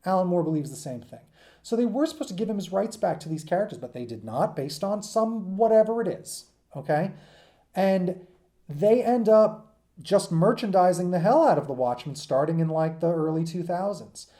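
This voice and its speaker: A man's voice: sits high, animated, moderate pace, warm tone. A man giving an informal, unscripted lecture as a teacher, talking conversationally and emphatically, often checking in with his listeners. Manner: conversational, checks in with "right?" and "okay?". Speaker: teacher presenting a comics history lesson.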